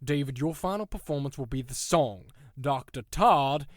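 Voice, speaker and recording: A man's voice: medium pitch, lively intonation, normal speed, dry recording, close to an everyday voice. A man playing a domineering prison warden in an audio drama, sardonic and menacing, with theatrical, taunting sarcasm. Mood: smug command.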